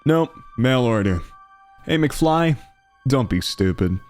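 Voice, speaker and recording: A man's voice: mid-range voice, lively intonation, slow, darkish tone, faint music behind. A man playing a blunt shop clerk in a motion comic, curt and sarcastic. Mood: sardonic warning.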